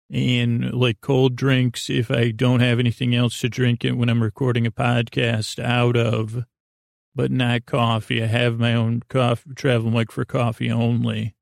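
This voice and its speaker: A man hosting a bedtime podcast in a halting, meandering, comedic monologue that keeps circling back and drifting into digressions. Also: medium pitch, gravelly, dry recording, clean studio sound. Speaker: podcast host.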